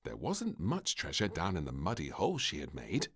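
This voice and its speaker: A man narrating a children's story from a script, with expressive, deliberate phrasing. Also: low voice, slightly rough.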